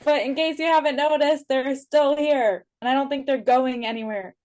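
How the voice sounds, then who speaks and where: A woman's voice: high voice, fairly even intonation, moderate pace, a little mellow, lightly acted. A woman reading the part of a guarded, principled sixteen-year-old emo shop worker, blunt and dry, in a drama table read. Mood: defensive.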